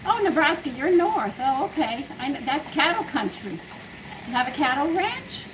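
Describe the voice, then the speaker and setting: Chatty woman, high voice, distant echoey kitchen. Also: very animated, normal speed, noisy background. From Texas. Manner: chatty, rambling, answers viewers' comments. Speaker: home cooking show host. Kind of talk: casual live-stream chat while baking. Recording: echoey kitchen, far from mic, loud running water.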